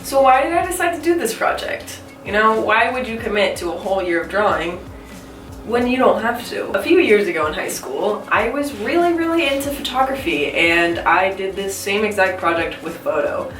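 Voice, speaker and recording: A woman's voice: mid-range voice, sing-song, normal speed. Congested young woman, roomy far-off sound. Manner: chatty, self-questioning, giggly. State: congested from a cold. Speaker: artist vlogger. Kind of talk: casual talking-to-camera vlog. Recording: roomy echo, a bit off-mic, soft music.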